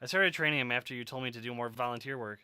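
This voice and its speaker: A man's voice: mid-range voice, rapid-fire, sharp tone, smooth, dry recording. A man acting in an audio drama as a wisecracking, stubborn young survivor who talks casually and sarcastically. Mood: earnest.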